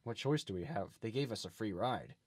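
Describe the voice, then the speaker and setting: A man's voice: on the low side, expressive, fairly quick, breathy edge, no room sound, pitched below the performer's own voice. A man playing a nervous, polite traveller in an improvised comic radio drama, hesitant and dramatic. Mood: uneasy. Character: nervous, polite male traveller in a bizarre mystery.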